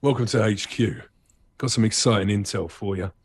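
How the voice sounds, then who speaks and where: A man playing a gruff special forces soldier briefing his squad in clipped military style, with a husky, gravelly voice. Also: fairly low pitch, normal speed, heavy background noise, natural voice. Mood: cocky, commanding.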